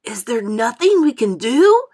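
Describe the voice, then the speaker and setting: Woman voicing an earnest, high-pitched storybook ladybird. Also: very animated, unhurried, warm tone. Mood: coaxing, firm. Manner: earnest, appealing. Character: bossy, anxious female ladybird, earnest and coaxing. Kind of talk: storybook character voice in a read-aloud.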